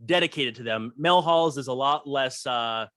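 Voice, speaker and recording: A man's voice: mid-range voice, normal speed, a bit bright, no echo, studio quality. A man in his thirties with an American accent, hosting a casual podcast interview and asking questions. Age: 30s.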